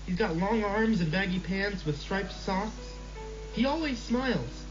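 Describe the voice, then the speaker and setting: A man reading a story and voicing a quiet five-year-old boy with a raised, childlike pitch, over a slightly dull recording with music behind. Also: expressive, a little slow. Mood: matter-of-fact.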